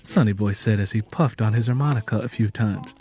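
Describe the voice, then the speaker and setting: A man's voice: lowish voice, brisk, dark tone, slightly rough. A man narrating fiction as a scripted audiobook reader. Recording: music playing under the voice.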